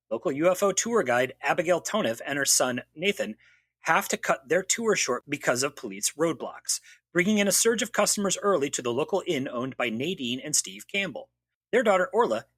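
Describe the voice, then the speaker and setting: A man's voice: fairly high pitch, a bit bright, husky, dry recording. A man hosting a podcast, giving a wry, jokey recap of a TV mystery episode. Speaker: podcast host. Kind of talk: scripted recap narration.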